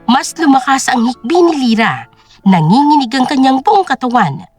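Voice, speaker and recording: A woman's voice: high voice, very animated, moderate pace, a little mellow, dry recording. A woman narrating a scripted drama story in a dramatic storytelling style. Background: music.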